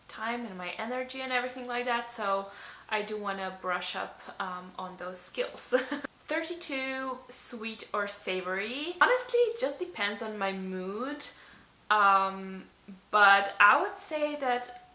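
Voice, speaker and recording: A young Slovenian woman, whose first language is Slovene, runs through a tag video in a casual, chatty way. Her voice is bright and clear, with plenty of ums and likes. The sound carries a noticeable echo from the bedroom. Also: mid-range voice, a little hiss or hum. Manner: chatty, many ums and likes, laughs often. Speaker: beauty vlogger. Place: bedroom, indoors.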